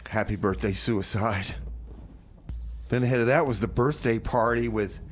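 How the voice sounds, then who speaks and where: Male music-show host, average pitch, downbeat offhand banter, light background noise. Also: normal speed. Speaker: radio host. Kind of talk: casual music radio banter.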